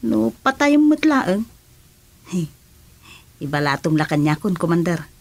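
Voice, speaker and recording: A woman's voice: on the low side, very animated, a little slow, vocal fry, full character voice. A woman with an Ilocano accent playing an eager, mischievous female rebel sidekick in a comedic radio drama. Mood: sly surprise.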